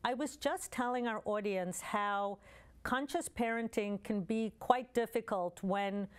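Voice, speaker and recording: A woman in her fifties hosting a show, presenting a scripted introduction in a clear, measured way. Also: mid-range voice. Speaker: show host. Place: studio with dark curtain.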